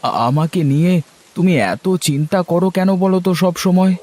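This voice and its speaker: A man's voice: high voice, moderate pace, a little mellow, a little hiss or hum, close to an everyday voice. A kind, principled village farmer from a cartoon played by a man. He is humble and poor, and he speaks in a hesitant, earnest way, easing into his phrases with small filler sounds. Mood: hesitant, affectionate. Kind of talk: cartoon dialogue acting.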